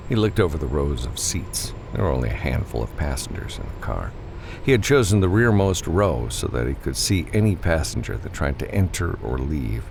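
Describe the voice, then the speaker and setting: Deep, gravelly male audiobook narrator. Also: a little hiss or hum. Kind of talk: scripted fiction narration.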